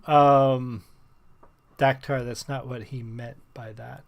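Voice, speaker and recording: A man reacting to and reviewing a song in casual commentary, pausing often with ums and restarting sentences. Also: medium pitch, fairly even intonation, gravelly, highs cut off. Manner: hesitant, many ums and restarts. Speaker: music reviewer.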